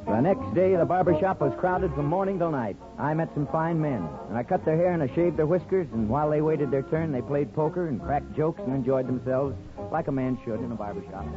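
A man's voice: fairly high pitch, a little fast, natural voice. An actor playing an earnest, folksy small-town barber and newlywed husband who also narrates his story in a 1950s radio drama. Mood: fond, nostalgic. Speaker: actor and first-person narrator. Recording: dull 1950s radio recording with music.

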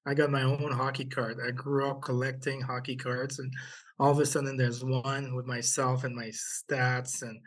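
A middle-aged former hockey player from Haiti, raised in Quebec, telling stories in an interview with many pauses and restarts. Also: male voice, mid-range voice, little pitch movement. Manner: halting, many fillers and restarts. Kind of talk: interview storytelling.

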